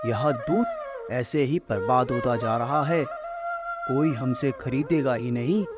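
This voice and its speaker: A man plays an envious, scheming milkman in a cartoon moral story. His delivery is dramatic and full of complaint, with hugely animated intonation at a medium pitch. Loud flute music runs underneath. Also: takes it slowly. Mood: worried complaint. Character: scheming village milkman, anxious, greedy, envious.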